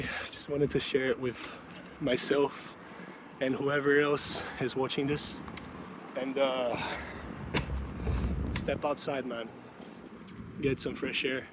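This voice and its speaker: A young Brazilian man in his twenties, a native Portuguese speaker, talking in a casual, musing vlog and laughing often as he walks outdoors. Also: mid-range voice. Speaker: student vlogger. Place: city street outdoors. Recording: street noise behind the voice. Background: traffic and city street ambience.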